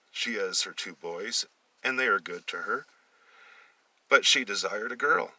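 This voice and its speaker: Male amateur storyteller, very bright tone, halting delivery, dry sound slightly off the mic. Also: lowish voice, dry recording, recorded from a distance, rough recording. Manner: halting, with misreadings and repeated phrases. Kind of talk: amateur dramatic story reading.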